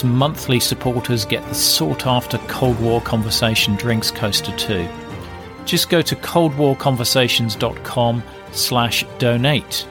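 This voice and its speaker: Guy hosting an interview podcast, medium pitch, music playing behind him. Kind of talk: scripted podcast introduction and interview questions.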